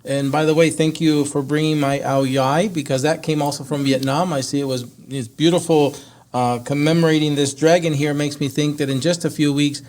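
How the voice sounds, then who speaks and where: A man's voice: a little high, fairly even intonation, normal speed, a little mellow. A man hosting a podcast, speaking in a conversational interview style, a non-native English speaker. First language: not English. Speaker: podcast host.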